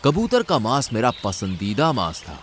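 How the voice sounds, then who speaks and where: A man's voice: mid-range voice, huge pitch swings, normal speed. An arrogant male heron from a cartoon fable, acted by a male narrator, greedy and cruel. He speaks in a boastful, menacing manner with swinging intonation, over faint noise.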